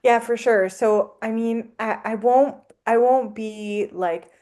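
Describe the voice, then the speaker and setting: A woman's voice: a little high, fairly even intonation, takes it slowly, dark tone. A woman whose first language is French, a guest on a podcast, talking casually with many fillers. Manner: chatty, lots of likes and ums. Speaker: anthropologist guest.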